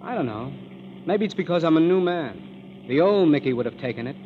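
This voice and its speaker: A man's voice: fairly high pitch, expressive, a little fast, natural voice. An actor playing a bitter, self-mocking young husband down on his luck, in a wry, fatalistic 1930s radio style. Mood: defensive. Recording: muffled, noisy 1930s radio broadcast.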